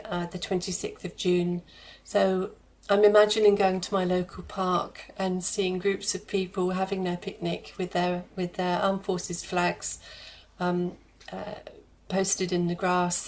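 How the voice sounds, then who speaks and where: A woman with a fairly low voice and very little rise and fall in pitch. She answers as a guest in a radio interview, talking conversationally and feeling her way through phrases with some repetition. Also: completely flat delivery, a little slow. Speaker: charity head of fundraising, interview guest.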